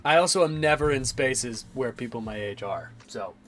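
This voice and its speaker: A man in his twenties with a slightly raspy voice, rambling casually and swearing as he streams his 3D modeling work and answers chat. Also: mid-range voice, animated, moderate pace, soft background music. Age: 20s.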